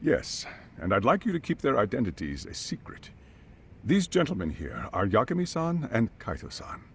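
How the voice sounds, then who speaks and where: A man's voice: mid-range voice, huge pitch swings, moderate pace, vocal fry, a little hiss or hum. An actor playing a formal, careful male school chairman in a video game drama. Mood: courteous, measured. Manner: polite, careful, formal phrasing. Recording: music behind the voice.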